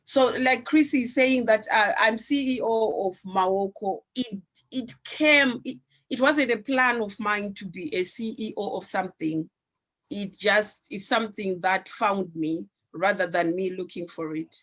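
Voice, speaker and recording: Slow, earnest woman from Zimbabwe. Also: mid-range voice, a bit bright. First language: Shona. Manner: earnest, reflective, with pauses and restarts. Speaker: panelist, founder of an arts organization. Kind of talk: panel discussion.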